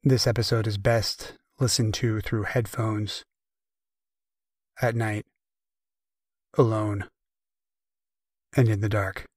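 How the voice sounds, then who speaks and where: An ominous announcer acted by a man delivers a scripted warning. His tone is grave and level, the delivery slow and deliberate, the sound very dry. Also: fairly low pitch, little pitch movement, no room sound. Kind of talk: scripted horror podcast disclaimer.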